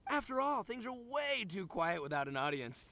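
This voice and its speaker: An actor playing a loud, boastful, good-natured young gang boss in a video game, with a slangy, bombastic manner. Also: male voice, high voice, sing-song, moderate pace, a crowd in the background. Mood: boastful, excited. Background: game music.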